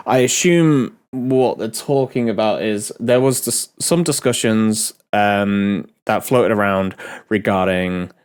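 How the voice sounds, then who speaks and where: A man hosting a casual game developer Q&A, a bit ill and nasal, rambling with many pauses and restarts. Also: fairly low pitch, clear, resonant tone. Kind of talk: casual dev talk Q&A.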